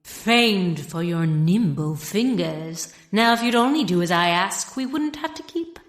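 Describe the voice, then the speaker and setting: A woman playing a menacing, silky gang leader who makes deliberate, mock-sweet threats in a comic audio drama. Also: lowish voice, lively intonation, echoey room.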